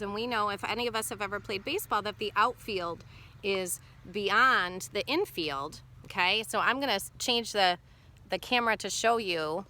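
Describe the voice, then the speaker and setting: A woman's voice: medium pitch, pushed and bright. A woman teacher reading a picture book aloud to children expressively, with instructive asides and questions to check in.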